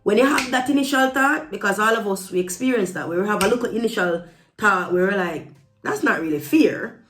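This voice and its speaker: A woman's voice: mid-range voice, brisk, fairly bright tone. Jamaican woman vlogging in an echoey room. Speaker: vlog host. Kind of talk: casual opinion talk to camera. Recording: roomy echo with music behind.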